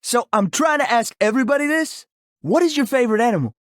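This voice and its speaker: A man's voice: very high-pitched, lively intonation, quick pace. A man voicing an upbeat, friendly young musician in a video game. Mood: upbeat, surprised. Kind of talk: video game character voice lines.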